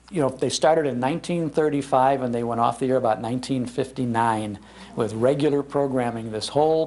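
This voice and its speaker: An older American man giving an informal, anecdotal public talk in an animated, conversational manner. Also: medium pitch. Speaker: speaker on old-time radio history, broadcaster. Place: public library talk before an audience.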